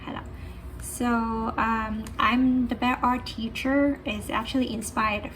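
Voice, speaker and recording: A young woman from Hong Kong speaks casually about her art in a slightly high voice, her Hong Kong accent plain. She hesitates often and slides between Cantonese and English. The recording carries a clear hiss of noise. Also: steady tone, slow, a bit bright. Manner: switches between Cantonese and English, many fillers. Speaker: artist and art teacher. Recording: noisy background.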